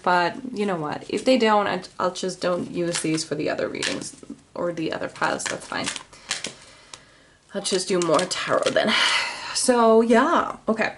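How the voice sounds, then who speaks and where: A woman's voice: medium pitch, noisy background. A woman with a Finnish accent, a native Finnish speaker, giving a casual tarot reading to camera. She rambles, corrects herself and keeps apologizing. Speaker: tarot reader.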